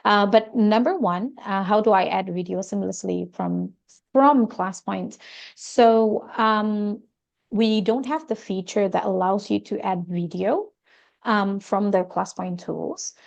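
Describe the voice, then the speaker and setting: Gravelly-voiced woman hosting a webinar. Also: mid-range voice, takes it slowly, highs cut off. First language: Indonesian. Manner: hesitant, many fillers. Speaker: webinar presenter. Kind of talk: live webinar teaching.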